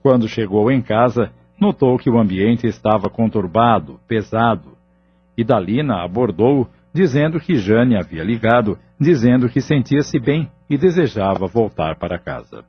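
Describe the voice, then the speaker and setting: A man's voice: medium pitch, expressive, normal speed, slightly rough. A man reading scripted narration for an audio novel as its narrator. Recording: muffled lo-fi audio, background music.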